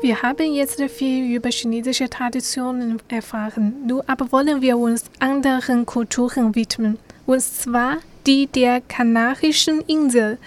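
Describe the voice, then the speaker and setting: A woman's voice: a little high, fairly even intonation, unhurried, hardly any pauses, faint music behind. A woman hosting a magazine programme, presenting from a script and putting questions to guests. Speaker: radio magazine host.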